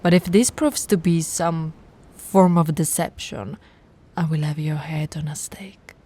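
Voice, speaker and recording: A woman playing a haughty, possessive immortal vampire aristocrat in an ASMR roleplay, imperious and condescending, theatrical, often dropping into a breathy whisper. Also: sits low, measured pace, warm tone, slight background noise. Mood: scornful, threatening.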